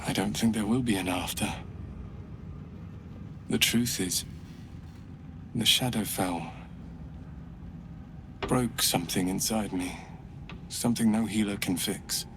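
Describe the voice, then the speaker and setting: A man's voice: lowish voice, slow, long pauses, noisy background. A man playing a weary, solemn old soldier in a video game, speaking earnestly and gratefully. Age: older. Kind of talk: video game dialogue acting. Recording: music behind the voice.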